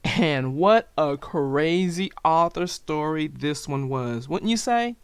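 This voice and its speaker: A woman hosting and narrating a read-aloud for young children, speaking deliberately and expressively to her listeners. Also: low voice, animated, clear, resonant tone, dry recording. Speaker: children's story narrator and channel host. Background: music.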